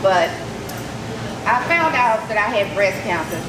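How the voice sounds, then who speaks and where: A woman gives a loud, lively personal testimony to an audience at an event. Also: mid-range voice, a little slow. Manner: loud, lively storytelling in casual Southern speech. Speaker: breast cancer survivor speaking at an event. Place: event hall. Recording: echoey hall with noise.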